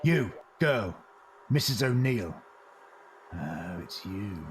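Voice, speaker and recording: A man's voice: fairly low pitch, big pitch swings, slow pace. A man playing a callous, domineering Victorian factory boss in an audio drama, curt and contemptuous. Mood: scornful. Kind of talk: fan audio drama acting. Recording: clear noisy ambience behind.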